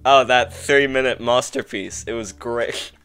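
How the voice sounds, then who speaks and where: A man's voice: medium pitch, a little slow, a bit bright, clean tone, faint music behind. A young man from England chatting casually as a podcast guest over a video call, rambling and filling his talk with "like". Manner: rambling, heavy use of "like", frequent laughter. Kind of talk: casual chat.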